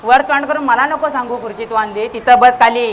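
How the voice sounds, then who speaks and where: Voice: mid-range voice, fairly quick, natural voice. A performer playing a bossy, irritable village secretary in a comic stage play, scolding and barking repeatedly. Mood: irritated, scolding. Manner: scolding, exasperated, repetitive barking. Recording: noisy live stage recording.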